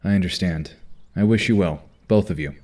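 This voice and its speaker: An actor playing a steady, courteous team leader in an audio drama, speaking earnestly. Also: male voice, deep voice, moderate pace.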